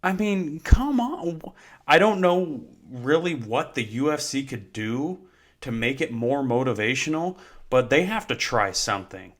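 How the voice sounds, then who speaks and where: A young man hosting a solo sports talk show, a little hoarse, with many fillers, and his pitch climbs when he rants in exasperation. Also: lively intonation, fairly bright tone. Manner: many fillers, unhurried delivery. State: slightly hoarse from yelling. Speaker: host.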